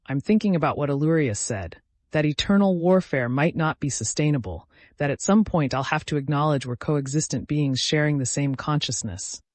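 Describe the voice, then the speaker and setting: A man's voice: a little high, normal speed, darkish tone, no room sound, close to an everyday voice. A man playing a weary, possessed fantasy hero. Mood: weary, frank. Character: exhausted, troubled man possessed by a dark entity.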